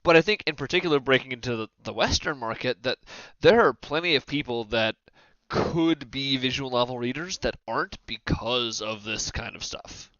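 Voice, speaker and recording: A man's voice: mid-range voice, expressive, moderate pace, fairly bright tone, dry recording, muffled recording. A man hosting a casual panel discussion in a joking, emphatic way, with some swearing. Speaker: podcast host.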